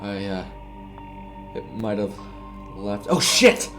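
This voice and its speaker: A man's voice: fairly low pitch, expressive, slow pace, music in the background. A man voicing a nervous male night security guard in a horror story, with a shaky, hesitant, breathless delivery. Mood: shaky, mumbling, then panicked.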